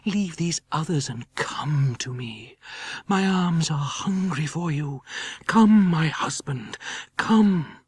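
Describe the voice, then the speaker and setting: A man voicing an undead vampire woman, wanton and coaxing, in slow whispered tones for a horror audiobook. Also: a little high, expressive, slightly rough, pitched above the performer's own voice, heavily acted. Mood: seductive, hungry.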